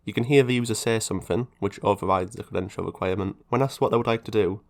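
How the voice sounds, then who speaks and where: A man with a fairly low voice narrates an explainer video. His delivery is scripted but conversational, with casual asides. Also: fast, creaky.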